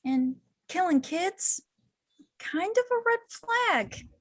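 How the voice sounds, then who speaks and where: A woman's voice: very high voice, very animated, takes it slowly, heavily acted. A woman playing a flighty, chatty, unhinged young clown villainess in an amateur table read. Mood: chatty, apologetic.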